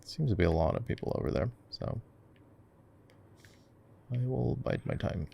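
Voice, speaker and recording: A man's voice: mid-range voice, animated, moderate pace, vocal fry, warm tone. A man playing an easygoing, affectionate gamer boyfriend in a casual ASMR roleplay, rambling and musing aloud as he guides his partner through a game. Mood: relaxed, instructive. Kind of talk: casual ASMR roleplay chat while gaming.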